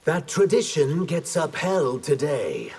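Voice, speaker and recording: A man's voice: a little high, wildly swooping pitch, slow pace, darkish tone, a little hiss or hum, full character voice. A man playing a proud reptilian humanoid fighter in a video game, terse and wary. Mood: guarded. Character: guarded, proud male reptilian humanoid warrior. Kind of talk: video game character dialogue.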